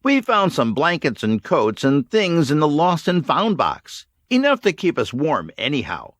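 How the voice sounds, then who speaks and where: A man's voice: a little high, huge pitch swings, unhurried, a bit bright, no echo, studio quality, pitched above the performer's own voice, exaggerated voice. A man voicing a loyal but unsure young man who helps the group, speaking hesitantly. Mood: plain. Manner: hesitant, doubtful.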